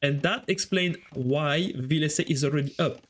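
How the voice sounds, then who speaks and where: A man's voice: on the high side, moderate pace. A man with a French accent casting a strategy game on a live stream, chatting with viewers between plays. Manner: chatty, answers chat while casting.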